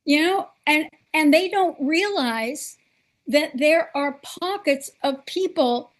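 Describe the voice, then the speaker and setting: A woman hosts a political talk show in an earnest, emphatic way. Her voice sits high, and she takes her time, leaning on each point deliberately.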